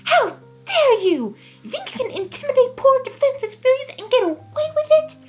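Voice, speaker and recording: A woman's voice: very high voice, very animated, moderate pace, a little mellow, faint music behind, voice pushed up. A woman voicing a sweet female pony who scolds boldly and emphatically in a story reading. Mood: indignant. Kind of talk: fan fiction character reading.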